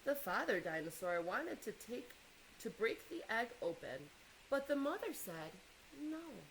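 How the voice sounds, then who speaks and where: A woman reading a children's picture book aloud in an expressive way, pausing to engage young listeners with questions and asides. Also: a little high, slight background noise, low-quality recording.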